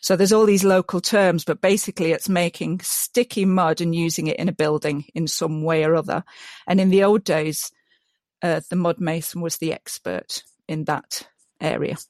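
A woman's voice: lowish voice, steady tone, moderate pace, a little mellow, no room sound. Enthusiastic woman, dry close sound. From Yorkshire, England. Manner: enthusiastic, restarts often, many ums. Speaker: guest, mud mason. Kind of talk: casual interview chat.

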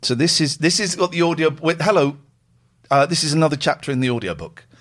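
A man's voice: a little high, quick pace. A man co-hosting a casual recorded chat, joking and interrupting himself as he sets up readings. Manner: animated, self-interrupting, joking. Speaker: podcast co-host reading stories.

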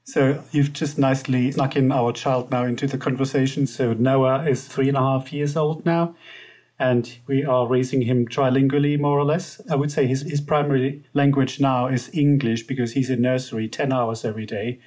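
A man with a German accent chats easily as a podcast guest. His voice sits at an ordinary middle pitch, and he talks in a relaxed, good-humoured way. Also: moderate pace. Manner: relaxed, chatty, laughs now and then. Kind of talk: casual interview chat.

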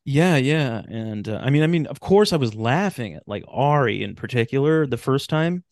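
A man's voice: medium pitch, animated, moderate pace, a little mellow, dry recording. Casual man with a close, dry sound. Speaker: podcast guest, writer of radio drama. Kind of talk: casual chat.

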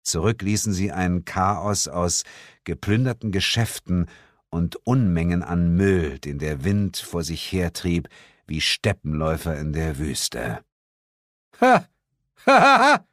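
A man's voice: sits low, unhurried, dry recording, clean studio sound. A man narrating a comic first-person audiobook story in a mock-dramatic way.

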